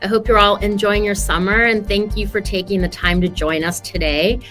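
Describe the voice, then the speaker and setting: A woman's voice: medium pitch, fairly even intonation, moderate pace, a bit bright, faint music behind. A woman hosting an interview for a webinar, introducing topics and putting questions to a guest. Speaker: interview host, business founder.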